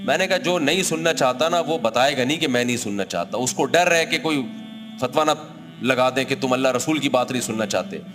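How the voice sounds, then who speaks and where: A man's voice: mid-range voice, animated, brisk, long reverb tail. A male cleric speaking to an audience, conversational and argumentative, recounting his own exchanges. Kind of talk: speech to an audience.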